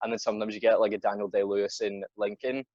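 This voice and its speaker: A man's voice: fairly low pitch, little pitch movement, fast, darkish tone, no room sound, muffled recording. A teenage male podcast co-host chatting casually about films in a blunt, sweary way, often repeating words as he goes. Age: teens. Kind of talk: casual film discussion.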